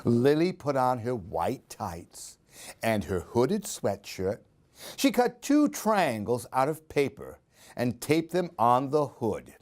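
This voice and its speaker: A man tells a picture-book story for young children. His delivery is slow and sing-song, with a very lively pitch that rises and dips. He talks directly to his little listeners. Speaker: storyteller reading picture books for a library program. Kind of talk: expressive children's story reading.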